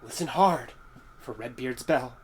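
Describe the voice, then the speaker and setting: A man's voice: medium pitch, measured pace, a little hiss or hum, lightly acted. An actor playing a resentful, unhinged young man in a horror audio drama, with an accusatory, menacing manner. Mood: cold, menacing.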